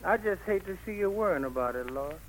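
High-voiced, eager angel Gabriel. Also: male voice, animated, a little fast, poor audio. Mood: loyal. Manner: deferential, folksy, eager. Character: angel Gabriel, eager, loyal, trigger-happy heavenly aide. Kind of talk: 1940s-50s radio play acting. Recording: vintage radio broadcast recording.

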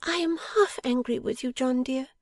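A woman's voice: sits high, moderate pace, dry recording, clean studio sound, pitched above the performer's own voice. A woman voicing a pouting, affectionate young wife in a storybook, coaxing and emphatic. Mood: teasing. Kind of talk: dramatised audiobook character.

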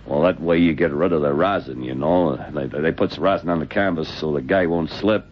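An actor playing a shady, defensive American boxing referee with halting, rough street speech in an old radio drama. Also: male voice, extremely low pitch. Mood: guarded. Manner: halting, rough colloquial grammar. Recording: muffled, noisy old radio drama recording.

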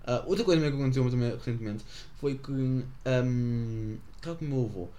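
A man's voice: medium pitch, expressive, brisk, warm tone. A teenage boy from the Azores, rambling through stories to camera with lots of fillers and self-mockery. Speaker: vlogger. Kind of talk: casual storytelling to camera.

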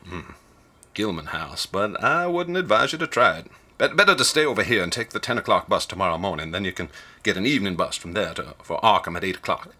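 Folksy older ticket clerk in a radio play, real low bright forceful voice, wary small town gossip, rambles on. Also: male voice, animated, brisk, heavily acted. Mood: cautionary. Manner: folksy, rambling, rustic colloquial speech. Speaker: ticket agent. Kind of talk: radio drama dialogue.

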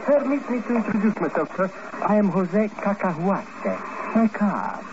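An actor playing a cowardly, scheming secret agent sidekick with an excitable, blustering, whining manner in 1950s radio comedy style. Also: male voice, high-pitched, measured pace. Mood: pompous salesmanship. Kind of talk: 1950s comic radio acting. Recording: noisy, muffled old radio recording.

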